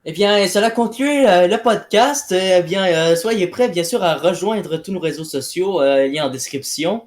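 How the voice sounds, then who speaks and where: A man's voice: high voice, expressive, unhurried. A man chatting casually on a podcast in a joking, mock-promotional way. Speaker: podcast participant. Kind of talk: casual group chat.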